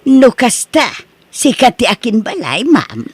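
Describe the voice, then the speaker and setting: A woman playing a brash, animated Ilocano wife. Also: on the high side, a little slow, husky, no echo, heavily acted. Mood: polite, eager. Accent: Ilocano, Philippines. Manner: brash, argumentative, exclamatory. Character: scheming, outspoken middle-aged wife dealing in property. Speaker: actor in radio-style comedy drama. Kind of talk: radio-style comedy drama dialogue.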